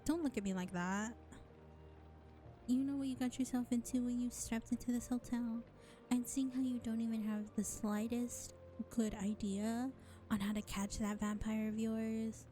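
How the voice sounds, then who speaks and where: A woman playing a sly, self-serving vampire bar owner in an audio roleplay, speaking in a sardonic, condescending drawl with deliberate pauses. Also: a little high, fairly even intonation, dark tone, faint music behind, close to an everyday voice. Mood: condescending teasing. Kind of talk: scripted audio roleplay acting addressed to listener.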